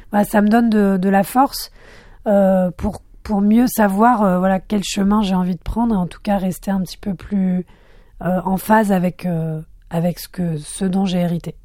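A woman filmmaker born in France, whose first language was Arabic, answering interview questions thoughtfully, pausing and restarting as she searches for words. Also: medium pitch, dark tone, no room sound, clean studio sound.

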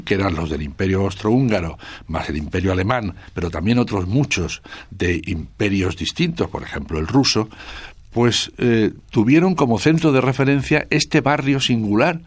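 A man's voice: on the low side, expressive, normal speed, dry recording. An older man from Spain, a guest author speaking in a radio interview, thoughtful and hesitant with many restarts.

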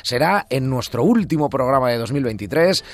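A man's voice: mid-range voice, lively intonation, normal speed. A man with a Castilian Spanish accent hosting a radio show, chatting informally as he interviews guests in a colloquial way. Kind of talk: conversational tech interview.

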